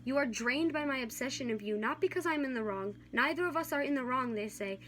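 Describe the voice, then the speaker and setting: A young woman with a high-pitched voice recites slam poetry. Her delivery is earnest and dramatic, carried by a steady spoken-word rhythm. It is an amateur recording with a little noise behind her. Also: brisk.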